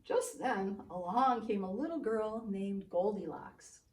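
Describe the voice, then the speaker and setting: A woman's voice: mid-range voice, measured pace, far from the mic, slight background noise. A woman preschool teacher telling a children's story with exaggerated expression, speaking directly to young listeners. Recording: roomy, away from microphone.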